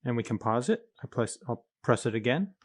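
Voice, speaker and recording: A man's voice: mid-range voice, big pitch swings, a little fast, breathy edge, faint music behind. A man narrating a step-by-step coding tutorial, informally thinking aloud as he works. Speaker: programming tutorial instructor.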